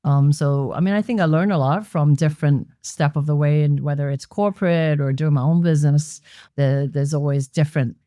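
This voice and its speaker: Woman guest from Hong Kong, mellow and dry, reflective and explanatory. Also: low-pitched, fairly even intonation, normal speed, no echo. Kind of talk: conversational interview answers. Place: members' club lounge.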